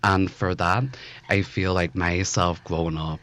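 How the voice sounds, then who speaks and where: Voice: low voice, little pitch movement, unhurried, fairly bright tone, slight background noise. A person of twenty-four with a male-sounding voice and a Belfast accent, speaking earnestly in an interview with emphatic, rhetorical repetition. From West Belfast, Northern Ireland. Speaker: interview guest, photojournalist.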